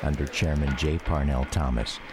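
A man's voice: extremely low pitch, steady tone, moderate pace. A middle-aged man with an American accent narrating a scripted documentary drama. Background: music.

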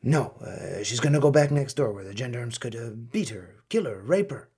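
Man acting gruff husky colonel, swooping pitch. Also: lively intonation, moderate pace, warm tone. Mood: uneasy, exasperated. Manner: blunt, brusque. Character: gruff middle-aged Turkish colonel, protective, exasperated husband. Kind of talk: radio play acting.

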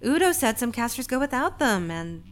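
A woman's voice: a little high, big pitch swings, normal speed. A woman playing a sarcastic, reckless young assistant to a scientist in a comedic audio drama. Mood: sardonic, impatient. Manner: sarcastic, quippy.

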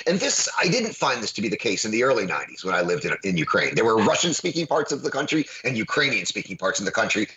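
A man's voice: on the low side, very animated, fast, hardly any pauses, sharp tone, dull audio. A man hosting a discussion, emphatic, often repeating words as he restarts sentences. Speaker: host. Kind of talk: conversational discussion.

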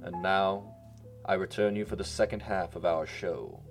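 A man with a low voice reads as a podcast announcer. His delivery is formal, measured and clearly scripted. Music plays behind him. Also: steady tone. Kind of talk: scripted narration, polished radio-host announcements.

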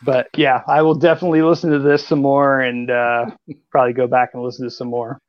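A man's voice: medium pitch, flat delivery, fairly quick, no room sound, lo-fi sound. A man co-hosting a casual music podcast, rambling and hesitant with many fillers. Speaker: podcast co-host.

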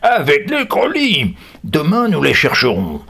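A man's voice: fairly high pitch, wildly swooping pitch, a little slow, vocal fry, a bit bright, breathy edge, pitched above the performer's own voice, heavily acted. A man voicing a stern, commanding old gang elder in a children's adventure story.

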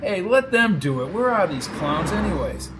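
A man voicing a brash, impatient, outraged man in an audio story, with a gruff, demanding manner. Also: high voice, very animated, moderate pace, noisy background, voice pushed up. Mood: irritated.

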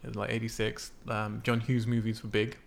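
A man's voice: on the low side, completely flat delivery, a little fast, vocal fry. A man hosting a casual film podcast, explaining things in an informal, sweary way. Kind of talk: casual film discussion from notes.

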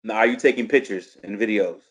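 A laid-back man speaking a little lower than most, in relaxed conversation. He laughs along easily and offers casual, friendly advice. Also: a little fast, breathy edge. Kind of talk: casual chat.

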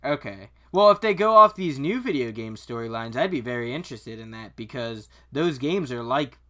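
A male podcast co-host with a bright, punchy voice at an average pitch. He chats casually and gives blunt, slangy opinions. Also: lively intonation, normal speed. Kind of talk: casual chat.

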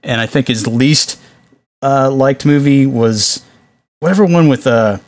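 A man hosting a casual podcast discussion of films, talking with animated exasperation and swearing freely. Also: mid-range voice, a little slow, no echo. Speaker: podcast host.

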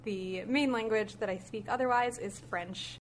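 A woman's voice: mid-range voice, moderate pace, heavy background noise. A young adult woman from Malaysia and the US, a guest chatting casually on a podcast with fillers and light laughs. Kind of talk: casual chat.

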